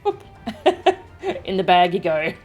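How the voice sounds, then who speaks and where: A woman with a somewhat low voice comments casually on the game she is streaming. She is playful and jokey in manner, and music plays behind her. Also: sing-song, slow, a little mellow. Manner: playful, joking.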